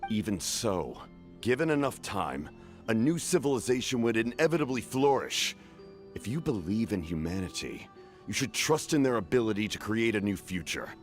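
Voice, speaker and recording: A man's voice: on the low side, sing-song, normal speed, faint music behind. A man playing a grim, haunted military commander in a fantasy game, stern and intense in his delivery. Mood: insistent. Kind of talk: scripted fantasy game acting.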